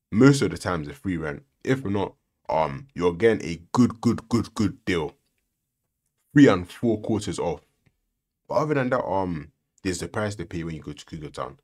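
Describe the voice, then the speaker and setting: A man's voice: low-pitched, darkish tone. A man hosting a reaction video, giving sarcastic, lecturing commentary full of rhetorical questions.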